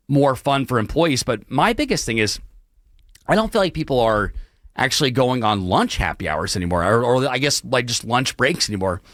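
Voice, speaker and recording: Hoarse radio host, dry studio sound. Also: male voice, mid-range voice, fairly bright tone, no echo. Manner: apologetic, clears throat often, many restarts. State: hoarse, losing his voice. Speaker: radio talk show host. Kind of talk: late-night talk radio monologue. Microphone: studio broadcast mic.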